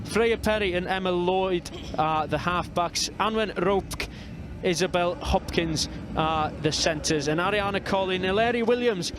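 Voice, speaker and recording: A man from North Wales with a North Welsh accent, calling a rugby match as live commentator in a measured, steady way. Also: high voice, noisy background. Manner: measured, reads out team lines steadily.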